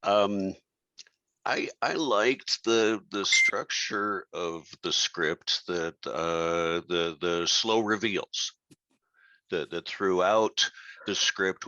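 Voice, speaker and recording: A man with a deep, bright-edged and gravelly voice offers feedback in casual conversation. He takes his time, and the close, dry sound is slightly dull and lo-fi. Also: no room sound. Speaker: actor giving feedback after a table read. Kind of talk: casual discussion.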